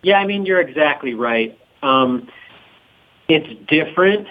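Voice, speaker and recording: A man being interviewed as a documentary producer, talking informally and haltingly as he thinks aloud. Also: on the high side, expressive, narrow, tinny audio.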